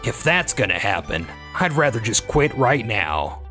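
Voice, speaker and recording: An actor playing a defensive, middle-aged company executive in disgrace, stammering in a manga dub. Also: male voice, medium pitch, big pitch swings, unhurried, bright, forceful tone, faint music behind. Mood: indignant.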